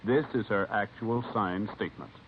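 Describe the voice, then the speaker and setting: A man's voice: fairly low pitch, normal speed. A man with an American accent announcing in formal, emphatic 1950s radio sponsor style. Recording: tinny, faintly noisy vintage radio recording.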